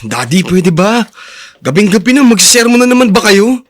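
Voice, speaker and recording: A man's voice: sits high, big pitch swings, normal speed, a bit bright, slight background noise. An actor playing a jealous, defiant young man in Filipino radio drama, confrontational and accusing. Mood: defiant, hurt. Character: jealous, defiant young son and possessive boyfriend.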